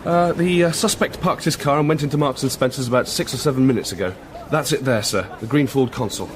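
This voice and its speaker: A man's voice: mid-range voice, quick pace, close to an everyday voice. Male actor as eager, stumbling British constable, mid voice, faint street noise. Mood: flustered. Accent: British English. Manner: hesitant, stumbling. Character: eager, nervous young male constable on stakeout. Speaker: police constable.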